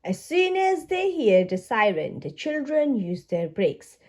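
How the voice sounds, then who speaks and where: A woman reading a storybook aloud to young children in an expressive, deliberate way. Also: fairly high pitch. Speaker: children's book read-aloud narrator.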